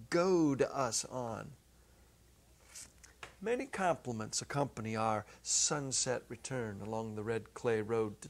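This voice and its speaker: Male narrator, middle-aged, medium pitch, unhurried and deliberate, for expressive literary storytelling. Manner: expressive, deliberate, with dramatic pauses. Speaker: narrator, reader. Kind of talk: dramatic reading of a literary short story. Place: indoor set, seated at a music stand. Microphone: clip-on lapel.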